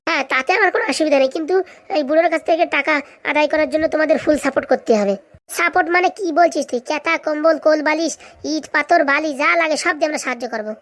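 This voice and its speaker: High, rapid-fire scheming village woman played by an actress. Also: natural voice. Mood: greedy plotting. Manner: chatty, bickering, colloquial. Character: scheming, greedy, bickering village women in cartoon comedy. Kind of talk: comedy cartoon voice acting.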